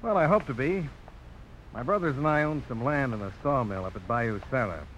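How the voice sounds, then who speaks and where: A man's voice: mid-range voice, lively intonation, a little fast, a bit bright, close to an everyday voice. A man playing a confident, friendly young frontiersman in a radio drama, speaking in an easygoing, inquisitive, folksy way. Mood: friendly, probing. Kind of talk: 1950s radio drama acting. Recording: slightly noisy old radio broadcast.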